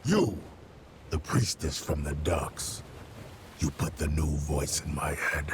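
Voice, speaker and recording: An actor playing a vengeful, haunted undead killer in a video game, ominous and intense, gravelly and breaking into whispers. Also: male voice, a bass voice, animated, slow pace, background noise, exaggerated voice. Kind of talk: video game cutscene acting.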